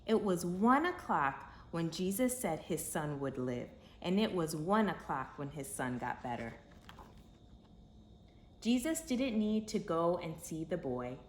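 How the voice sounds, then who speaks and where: A woman reading a children's story aloud in an expressive, dramatic way, with emphatic phrasing for young listeners. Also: fairly low pitch, unhurried. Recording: echoey room with faint background noise.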